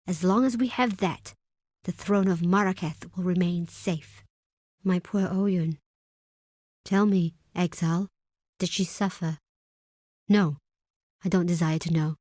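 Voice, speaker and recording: Low-voiced, proud desert tribeswoman. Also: a little slow, long pauses, darkish tone, no echo. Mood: urgent, grave, then grieving. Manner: solemn, formal, imperious. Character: proud, stern desert tribe woman, second-in-command, wary of outsiders. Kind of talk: dramatic video game character acting.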